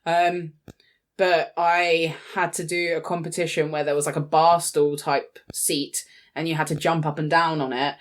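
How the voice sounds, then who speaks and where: A woman's voice: low-pitched, little pitch movement, normal speed. A young British woman co-hosting a podcast, casually chatting and telling personal anecdotes. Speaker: podcast co-host.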